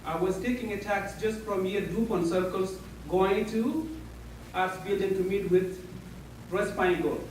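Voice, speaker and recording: A man with an Ethiopian accent telling a personal story in a public speech, with halting, non-native phrasing. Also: high voice, a little mellow. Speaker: speaker at a community meeting. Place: large echoey meeting hall. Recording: echoey hall, mic far off, faint hiss.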